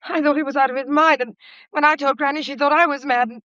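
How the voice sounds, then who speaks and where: An actress in a radio drama playing an overwrought, defensive young wife who insists in a breathless rush. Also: female voice, high voice, fairly even intonation, fast talker, pushed and bright, dry recording. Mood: agitated, insistent. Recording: slightly dull, dry studio sound.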